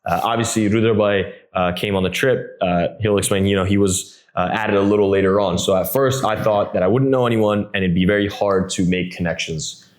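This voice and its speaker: Relaxed young man hosting a podcast. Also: on the low side, brisk, few pauses. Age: 20s. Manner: relaxed, frequent "uh" fillers, friendly. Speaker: podcast host. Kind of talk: casual podcast chat.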